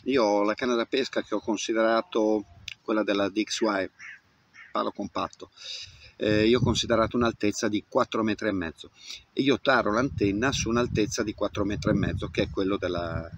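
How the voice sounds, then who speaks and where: A man in his fifties with a fairly low voice explains a practical job in a casual way, muttering a little as he works. He is recorded in the open air on a camera mic, with clearly audible noise around the voice. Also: a bit bright. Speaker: amateur radio hobbyist demonstrating antenna tuning. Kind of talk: casual hands-on tutorial. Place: open grassy field outdoors. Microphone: camera mic, varying distance. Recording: noisy outdoor recording with clicks.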